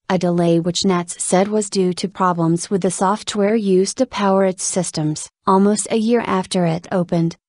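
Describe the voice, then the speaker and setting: Lower-voiced woman reading news, dry sound. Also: a little mellow, no echo. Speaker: news article reader. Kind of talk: scripted narration of a written news article.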